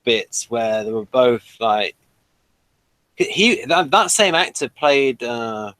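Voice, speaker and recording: A man's voice: medium pitch, lively intonation, slow, a bit bright, dry recording, rough recording. A man in casual debate as a panel reviewer, eagerly insisting on his points with stumbling restarts. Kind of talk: casual chat, explaining and debating TV trivia.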